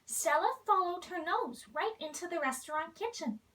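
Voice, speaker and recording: A woman reads a storybook aloud for children. Her voice is extremely high and bright, with lively swings in pitch. She sounds set back from the microphone. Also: normal speed, recorded from a distance. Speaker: children's story reader appearing as a princess.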